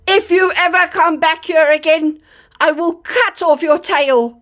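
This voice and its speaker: A woman's voice: extremely high pitch, measured pace, a bit bright. A woman voicing a stern male woodcutter in a children's story, scolding in a firm way.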